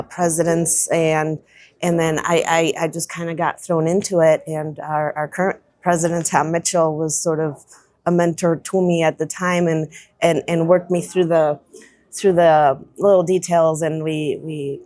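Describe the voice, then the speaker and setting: Woman in her 40s, deep voice, dry sound, chatting about her career, restarts a lot. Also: a little mellow, no echo. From Chicago area, US. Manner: thoughtful, with frequent restarts. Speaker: trade show company manager, podcast guest. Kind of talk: casual interview chat.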